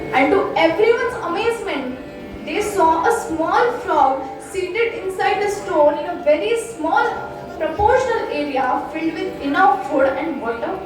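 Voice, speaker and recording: A young woman from Maharashtra with an Indian accent, giving a devotional discourse in a declamatory, rhetorical storytelling manner. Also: extremely high pitch. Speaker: devotional storyteller, student preacher. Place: echoey hall at a training camp. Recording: distant, very echoey hall, music behind.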